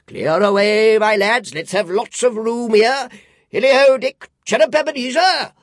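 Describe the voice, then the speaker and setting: A man's voice: sits high, unhurried, dry recording, clean studio sound, pitched above the performer's own voice. A man reading a storybook, voicing a boisterous, merry old merchant who calls out heartily. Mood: jolly. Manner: hearty, calling out loudly. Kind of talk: audiobook reading.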